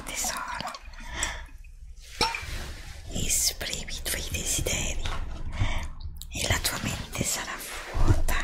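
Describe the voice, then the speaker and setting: Woman voicing an evil sorceress, gloating, possessive, breathy whisper, menacing, very slow, ASMR roleplay. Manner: slow, menacing, drawn-out delivery. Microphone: close USB microphone.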